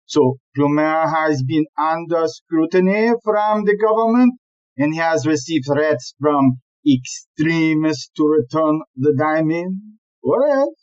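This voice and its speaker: A slightly dull recording of an audio drama. A woman plays a male police chief inspector, smooth-talking and dismissive, a philanderer who speaks slowly in a lowish register. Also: animated, darkish tone. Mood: composed. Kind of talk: audio play acting.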